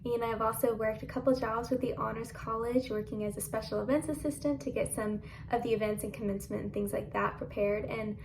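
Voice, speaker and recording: Young woman with gravelly fry, distant mic. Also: a little high, steady tone, fairly quick, few pauses. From Boerne, Texas. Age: young adult. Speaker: student. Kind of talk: casual video chat to camera. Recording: distant mic with clear background noise.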